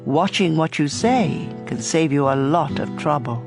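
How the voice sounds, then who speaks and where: A narrator reading scripture in a measured style for a dramatized audio Bible. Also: mid-range voice, animated. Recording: music bed under voice. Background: instrumental music.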